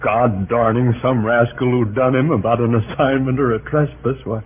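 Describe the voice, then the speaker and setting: An actor plays a homely, respectful neighbour from a small town. His husky, middle-pitched voice rambles along in a folksy, hesitant way. It sounds like a narrow, vintage radio drama recording. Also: steady tone, normal speed, close to an everyday voice. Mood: marvelling. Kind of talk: 1940s radio acting. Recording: muffled, band-limited old radio recording.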